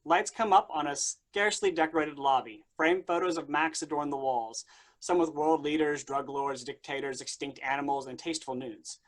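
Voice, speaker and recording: A man's voice: a little high, fairly even intonation, moderate pace, low-quality recording. A man reading stage directions aloud as the narrator of a staged play reading.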